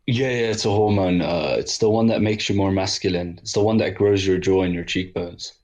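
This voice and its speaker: A man in his twenties from the Netherlands, a podcast guest chatting in a relaxed, loose way. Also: low-pitched, brisk. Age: 20s. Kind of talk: casual chat.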